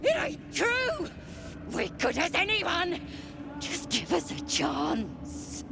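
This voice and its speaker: A woman's voice: very high voice, sing-song, slow, creaky, music in the background, exaggerated voice. A woman voicing a scrappy, defiant goblin underling in a video game, coarse and protesting. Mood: desperate.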